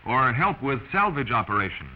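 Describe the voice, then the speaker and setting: American guy narrating old 40s training film, lively intonation, bit of hiss. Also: medium pitch, normal speed. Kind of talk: 1940s scripted instructional narration. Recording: dull noisy 1940s film soundtrack.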